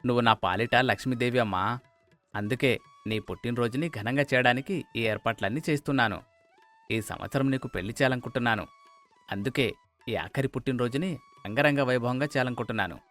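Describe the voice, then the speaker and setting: A man's voice: mid-range voice, fast talker, fairly bright tone, faint music behind, lightly acted. A man voicing a middle-aged father who indulges his favourite, emphatic and paternal, in a cartoon moral story. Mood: doting, proud.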